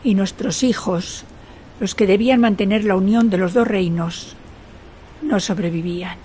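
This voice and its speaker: Radio drama lead: a woman as a mournful, regal queen in exile, formal and lamenting, medium pitch, noise behind. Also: a little slow, dark tone, natural voice. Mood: sorrowful, solemn. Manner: solemn, literary, lamenting. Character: sorrowful exiled queen recalling her youth, dignified. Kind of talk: radio drama monologue, old radionovela style.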